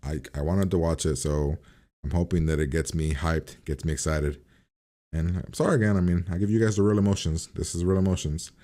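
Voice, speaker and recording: A man with a very deep, mellow voice gives casual reaction commentary. He is blunt and talks like a host chatting to his viewers, with some loose swearing. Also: fairly quick.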